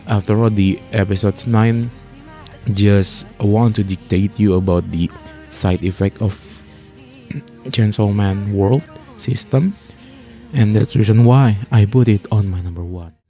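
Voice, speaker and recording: A man hosting a countdown review as a voice-over, speaking haltingly and stretching key words. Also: fairly low pitch, steady tone, mellow. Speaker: host of an anime music ranking channel. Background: music playing under the voice.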